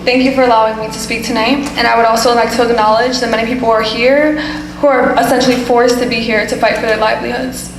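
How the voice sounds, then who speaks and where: Firm young woman, slightly high, echoey hall. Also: fairly even intonation, fast talker, noisy background. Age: young adult. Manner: firm, insistent, reading a statement. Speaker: student speaker at public hearing. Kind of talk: prepared public comment. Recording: echoey hall with faint ambience.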